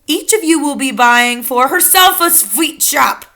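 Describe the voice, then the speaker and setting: A woman's voice: sits high, animated, a little slow, sharp tone, voice pushed up, heavily acted. A woman playing a tiny raging witch queen. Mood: commanding. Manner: shrieking, bossy, odd broken grammar. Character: tiny tyrannical female witch leader, furious.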